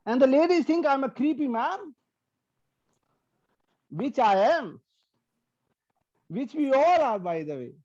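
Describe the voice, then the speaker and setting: A man's voice: extremely high pitch, expressive, unhurried, long pauses, a little mellow, no room sound, highs cut off. A man from India with an Indian accent whose first language is Hindi and Punjabi, doing a profane, brash stand-up set. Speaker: stand-up comedian.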